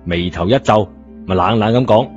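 A man's voice: on the low side, moderate pace. A man narrating a story in a colloquial scripted style, in a dull recording. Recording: dull lo-fi audio, music behind.

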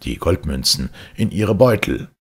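A man voicing an angry village blacksmith who scolds his lord, in a raised, higher voice than his narration. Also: expressive, unhurried, slightly rough, exaggerated voice. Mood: scolding.

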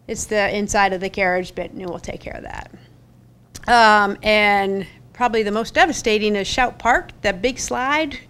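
A woman's voice: medium pitch, fairly bright tone, clear, resonant tone. A middle-aged woman giving an upbeat, chatty parks update at a public board meeting, speaking at a podium mic in a council chamber, with many fillers. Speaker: parks staff presenter. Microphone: podium gooseneck mic.

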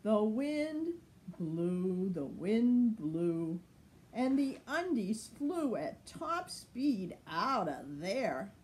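A woman's voice: medium pitch, big pitch swings, extremely slow, a little mellow. A woman in her sixties reading a children's picture book expressively, lingering dramatically on words. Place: sunny room at home by large window.

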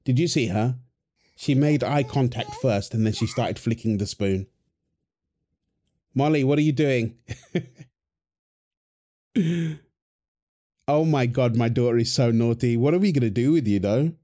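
A man speaks slowly, easing through each line without rushing. His tone is soft and mellow, with no edge to it. He performs a wholesome audio roleplay as a teasing husband and a fond dad who coos to a baby. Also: medium pitch.

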